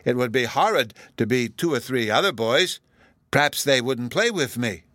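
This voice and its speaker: A man's voice: mid-range voice, moderate pace, a bit bright, no room sound, studio quality, pitched above the performer's own voice, natural voice. Man voicing an earnest little boy with babyish speech. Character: small boy, earnest and stubborn, childish grammar.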